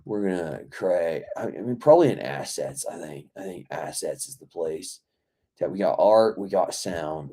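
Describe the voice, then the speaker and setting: A hoarse man with a dark, mellow low voice, losing his voice as he works. He speaks slowly and rambles, muttering half to himself while walking through a game dev session. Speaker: indie game developer. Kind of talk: casual dev log, thinking aloud while working.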